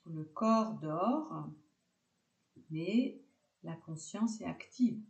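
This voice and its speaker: Slow, low-voiced woman guiding relaxation. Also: roomy sound, lo-fi sound. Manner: calm instructions in short, separated phrases. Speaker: yoga nidra teacher. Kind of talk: guided relaxation meditation.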